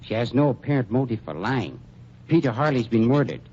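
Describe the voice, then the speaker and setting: Man acting as an unctuous scheming undertaker, mellow voice, swooping pitch, 1940s radio drama, dull lo-fi sound. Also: animated, husky. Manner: unctuous, falsely polite, with drawn-out pauses. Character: oily, smooth-talking male undertaker and blackmailer. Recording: dull lo-fi 1940s radio recording, faint hiss.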